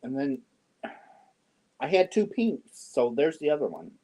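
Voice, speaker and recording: A man in his fifties chatting casually with viewers on a yarn livestream, joking and often clearing his throat. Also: fairly high pitch, wildly swooping pitch, measured pace, dark tone. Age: 50s. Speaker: yarn channel host. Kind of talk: casual livestream chat answering viewer comments.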